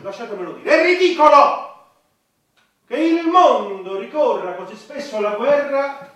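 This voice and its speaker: An actor playing a calculating, indignant government minister with a formal, oratorical delivery in a satirical stage play. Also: male voice, very high voice, animated, a little slow, fairly bright tone, full character voice. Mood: indignant oratory. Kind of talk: theatrical satirical stage acting. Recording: very echoey room, distant mic.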